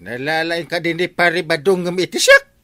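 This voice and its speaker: An actor in a comedy radio drama playing a blustering, evasive older village captain, grumbling and full of exclamations. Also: male voice, a little high, expressive, a little fast, sharp tone, dry recording. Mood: exasperated.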